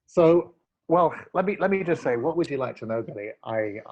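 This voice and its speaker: A man's voice: on the high side, expressive, normal speed. Reflective man in his forties, lo-fi call. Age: 40s. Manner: earnest, reflective, many fillers and restarts. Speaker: guest. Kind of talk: casual conversational storytelling. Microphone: video call.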